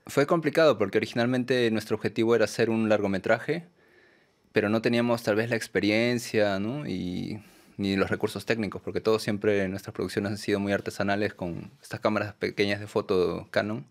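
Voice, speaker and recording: A Peruvian man, a documentary filmmaker, answering interview questions in a soft, hesitant way with many fillers and tag questions. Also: on the low side, steady tone, fairly quick. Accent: Peruvian Spanish. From Peru.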